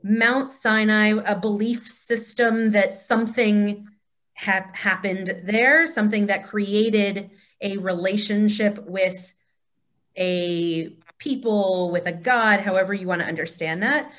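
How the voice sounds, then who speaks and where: Woman teacher, slow delivery, mid-range pitch, explanatory class talk. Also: steady tone, fairly bright tone.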